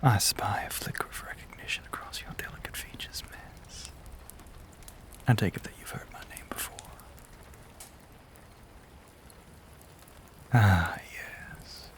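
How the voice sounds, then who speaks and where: A man playing a courtly, menacing, ageless vampire with a Southern US accent, in an intimate audio roleplay, breathy and mostly whispered, with theatrical, genteel phrasing and long pauses. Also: on the low side, lightly acted. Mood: teasing, genteel. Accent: American South.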